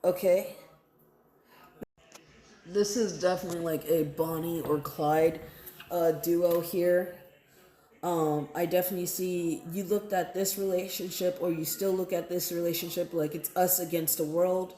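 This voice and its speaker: Low-voiced woman in an echoey room. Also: fairly even intonation, a little slow, warm tone. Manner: conversational, repeats phrases, often checks in with "right?" and "okay?". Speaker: tarot card reader. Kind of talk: casual, improvised tarot reading addressed to viewers.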